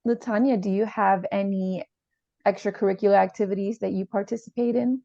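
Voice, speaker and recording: A woman playing a calm, probing therapist in a role-play, measured and tentative as she reflects back. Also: medium pitch, vocal fry, no room sound, highs cut off, lightly acted. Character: calm, probing female therapist leading a session.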